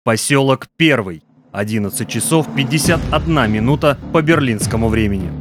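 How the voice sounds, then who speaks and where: Male announcer, medium pitch, scripted radio reads over prominent music. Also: animated, moderate pace.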